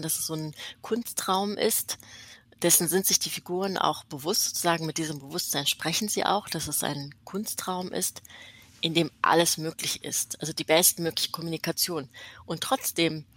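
A woman's voice: deep voice, fairly bright tone. A woman interview guest explaining thoughtfully and conversationally, with some emphasis.

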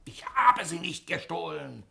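Excitable, indignant husband, radio drama; gravelly and sharp, emphatic delivery. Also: male voice, on the high side, unhurried, a little hiss or hum, exaggerated voice. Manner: emphatic, swinging between coaxing and blustering. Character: excitable husband, accused thief, indignant and affectionate. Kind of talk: radio play acting.

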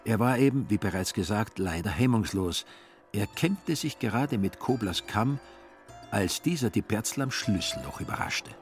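A man narrating a literary radio play in a measured, dryly ironic way. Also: fairly low pitch, lively intonation, soft background music.

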